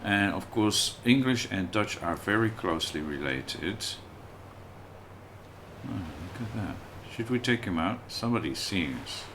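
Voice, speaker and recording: Low-voiced man narrating his gameplay. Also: unhurried, background noise. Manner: relaxed, thinks aloud and chats with viewers while playing. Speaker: livestreamer playing a video game. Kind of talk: casual let's-play commentary.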